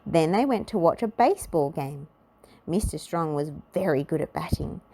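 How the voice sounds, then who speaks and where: A woman narrating a children's storybook aloud in an expressive, measured storytelling manner. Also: low-pitched.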